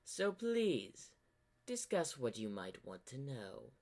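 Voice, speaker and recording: An ancient corrupted zebra spirit, acted out by a man for a fan cartoon, sly and manipulative. He drawls at a very unhurried pace, theatrical and teasing, as if bored by everyone. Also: medium pitch, wildly swooping pitch, a little mellow, heavily acted. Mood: smug, casual. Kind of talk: fan-made fantasy cartoon voice acting.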